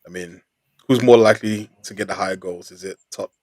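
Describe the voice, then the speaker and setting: A man's voice: fairly low pitch, fairly even intonation, fairly quick, a little mellow, no room sound. Insistent young man, dry close sound. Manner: insistent, exasperated, repeats words. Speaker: football podcast panelist. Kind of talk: heated casual debate.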